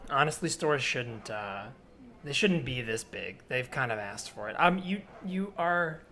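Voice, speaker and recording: A man's voice: medium pitch, animated, a little slow, sharp tone. A man improvising a helpful, awkward fellow shopper in a comedy scene, speaking hesitantly with oddly earnest politeness. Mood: earnest, uneasy. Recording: faint background noise, store soundscape.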